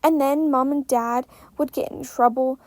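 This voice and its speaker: A woman reading fan fiction aloud as an expressive story narrator. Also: high voice, normal speed, no room sound.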